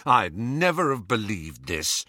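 A man's voice: on the low side, big pitch swings, a little slow, pushed and bright, no room sound, clean studio sound, exaggerated voice. A man voicing a hot-headed, accusing teenage boy in an audiobook story. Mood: sullen anger.

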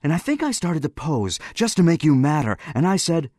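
A man voicing a candid, excitable teenage schoolboy in an audiobook, earnest and a little flustered as he remembers. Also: medium pitch, no room sound, clean studio sound, voice pushed up. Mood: relieved, eager. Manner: spontaneous, earnest, halting as he remembers.

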